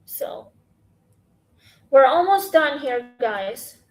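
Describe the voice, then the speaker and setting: A woman with a high, scratchy voice talks slowly into her phone. She rambles through questions in a casual, halting way, full of pauses and fillers. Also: animated, darkish tone. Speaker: podcast host. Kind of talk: casual Q&A chat.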